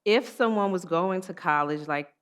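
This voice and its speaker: A woman's voice: lowish voice, normal speed, smooth. A woman from Queens, answering on a panel in an earnest, conversational way, often saying "like". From Queens, New York. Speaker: panelist, program alumna.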